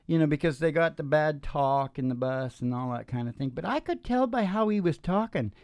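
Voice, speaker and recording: A man's voice: fairly high pitch, lively intonation, fairly quick, darkish tone. A middle-aged man chatting casually and bluntly between songs as a show host, rambling and swearing, close on a stage microphone. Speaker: singing podcast host, musician. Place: small dim curtained indoor stage. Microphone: stand microphone close to the mouth. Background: acoustic guitar.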